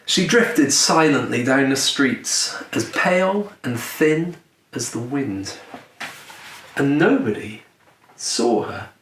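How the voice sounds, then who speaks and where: A man who is a children's author reading his picture book aloud in a gentle storytelling manner with deliberate dramatic pauses. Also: mid-range voice. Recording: roomy echo, distant mic, faint noise.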